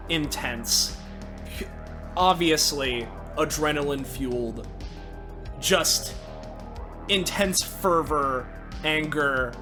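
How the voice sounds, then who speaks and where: A man playing a tabletop game who describes his character deliberately and in detail. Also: on the high side, sharp tone. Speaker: player. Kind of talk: narrating his character's actions.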